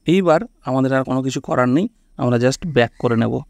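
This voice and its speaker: A man's voice: medium pitch, a little fast, creaky, no echo, studio quality. A man hosts a casual step-by-step phone tutorial, instructing and often checking that the listener follows. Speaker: tech tutorial host.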